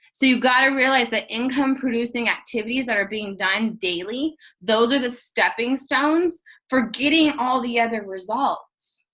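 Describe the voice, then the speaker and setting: Bright, chatty woman giving a casual training talk. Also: fairly high pitch, rough recording. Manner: chatty, filler-heavy, rambling; earnest and encouraging. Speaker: team trainer.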